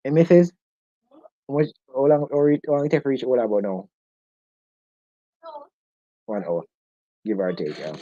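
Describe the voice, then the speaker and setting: Host, male, Jamaican accent, joking live-stream talk, husky mellow tone, dull lo-fi audio. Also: mid-range voice, normal speed, pauses often, no room sound. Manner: playful, joking, laughs often. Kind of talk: casual live-stream chat. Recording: dull lo-fi sound.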